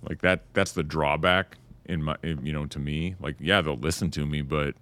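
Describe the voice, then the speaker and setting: Man, very deep voice, podcast host, relaxed casual chat. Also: moderate pace, clean tone. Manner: relaxed, swears freely. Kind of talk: casual conversation.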